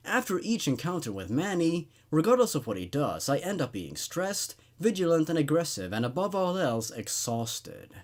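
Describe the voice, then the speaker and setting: A man hosting a horror story reading, narrating in an expressive, conversational, laid-back way. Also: medium pitch. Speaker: host reading horror stories aloud.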